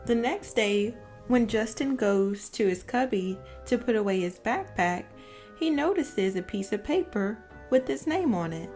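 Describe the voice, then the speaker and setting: A woman reads a picture book aloud in a high, lively voice. She takes her time with each phrase, clearly telling it for children, with music accompanying her. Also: unhurried. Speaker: read-aloud storyteller.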